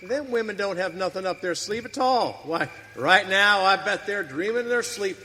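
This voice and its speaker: Man acting a bright, scoffing frontier cowhand. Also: sits high, animated, normal speed. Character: scoffing, dismissive frontier cowhand, folksy and stubborn. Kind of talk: live western radio drama acting. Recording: reverberant hall, faint background noise.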